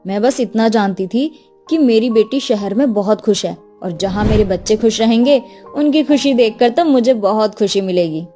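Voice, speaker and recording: A woman's voice: fairly high pitch, moderate pace, dark tone, soft background music, natural voice. A woman voicing a loving older village mother in a cartoon moral story. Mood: tender.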